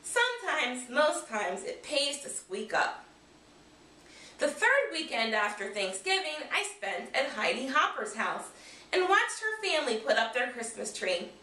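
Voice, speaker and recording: A woman reads a storybook aloud in a high, bright voice. Her delivery is expressive and swings widely in pitch, recorded in a roomy space from across the room. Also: a little slow. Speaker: guest storybook reader. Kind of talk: scripted storybook narration read aloud. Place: small furnished room, indoors. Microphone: camera mic across the room. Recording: roomy, distant camera microphone.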